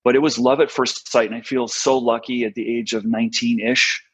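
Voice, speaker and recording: A middle-aged American man, a podcast guest, telling his career story in a relaxed way with many fillers. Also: medium pitch, brisk, breathy edge, low-quality recording. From United States. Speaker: guest, talent strategist. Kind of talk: podcast interview.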